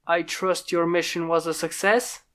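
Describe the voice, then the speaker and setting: A woman reading a fan story aloud, voicing a serious male inventor in a calm, measured way. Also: fairly low pitch, lightly acted.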